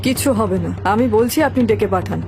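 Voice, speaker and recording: A woman's voice: mid-range voice, normal speed, natural voice. A woman playing a devoted, dignified landlord's wife in a cartoon, in an earnest, melodramatic manner. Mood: resolute. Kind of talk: Bengali cartoon voice acting. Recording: music behind the voice.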